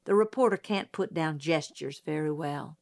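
A woman's voice: low voice, normal speed, no echo, studio quality. A woman reading a storybook voices a patient, formal male prosecutor questioning a witness.

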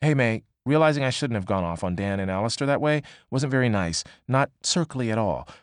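A man voicing a brash, excitable young woman who talks fast, swears freely and pushes hard. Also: mid-range voice, no echo, studio quality, lightly acted. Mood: agitated. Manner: quick, emphatic, swearing freely. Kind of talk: audiobook character voice.

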